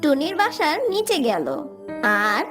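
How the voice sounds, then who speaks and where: A woman's voice: sits high, sing-song, unhurried. A woman reading scripted narration for a children's fairy tale as its storyteller. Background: loud music.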